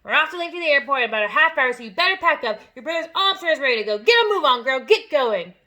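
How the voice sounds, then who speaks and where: A woman's voice: fairly high pitch, level tone, normal speed, gravelly, sharp tone. Woman doing a snapping, bullying father. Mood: barking. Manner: barking, snapping orders. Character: gruff bullying father, impatient and rude.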